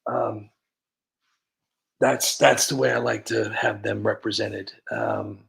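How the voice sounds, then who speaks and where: A man's voice: fairly low pitch, sing-song, slow pace, creaky, a bit bright. A man hosting a comedy livestream, chatting casually and rambling with lots of fillers and restarts. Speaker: comedy channel host.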